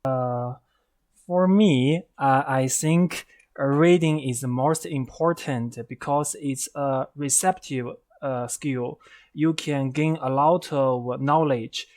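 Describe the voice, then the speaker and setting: Slow, hesitant man with a Chinese accent. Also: mid-range voice, a little mellow. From China. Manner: hesitant, many uh fillers. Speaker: interviewer, English learner. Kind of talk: casual interview.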